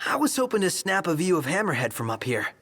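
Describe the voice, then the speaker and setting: An actor playing an excitable, boyish young man in a video game, a goofy photographer with a bubbly, playful manner. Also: high voice, fast talker, breathy edge, full character voice. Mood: flustered, nervous. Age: young adult. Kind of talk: video game cutscene acting.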